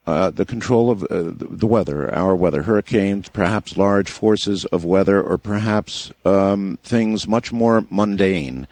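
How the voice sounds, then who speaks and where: Male radio host, lowish voice, close dry sound, deliberate questioning, hesitant phrasing. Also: darkish tone, dry recording. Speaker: radio talk show host. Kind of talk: late-night radio interview, posing questions.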